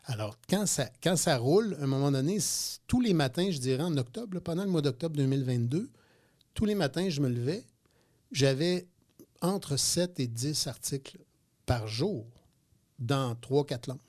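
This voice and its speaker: A man's voice: fairly high pitch, animated, moderate pace, darkish tone. A man in his fifties with a Quebec French accent, telling stories as a guest in a radio interview, hesitating and repeating words. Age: 50s. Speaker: guest entrepreneur. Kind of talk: radio interview, casual storytelling.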